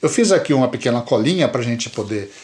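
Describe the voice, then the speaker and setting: A man's voice: medium pitch, quick pace, slight background noise. Emphatic Brazilian man chatting. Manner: animated, emphatic, repeats words. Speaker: podcast co-host. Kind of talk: casual chat.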